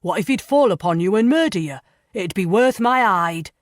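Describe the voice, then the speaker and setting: A woman's voice: mid-range voice, expressive, normal speed, dry recording, clean studio sound, voice pushed up, close to an everyday voice. A woman doing a paunchy fussy jail warden. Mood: eager.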